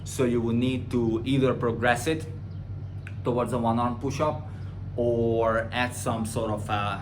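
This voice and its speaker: Blunt trainer with a Hungarian accent. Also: male voice, level tone, background noise. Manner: blunt and opinionated, rising loud and high when ranting; drops to a quieter, slower tone when explaining. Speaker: personal trainer answering viewer questions. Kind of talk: casual Q&A monologue.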